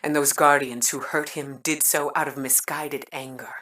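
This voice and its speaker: An actress playing a stern, principled military commander in a video game, speaking formally and deliberately with a measured, weary air. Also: female voice, sits low, fairly even intonation, sharp tone, far from the mic. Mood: firm, pained. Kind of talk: dramatic video game voice acting.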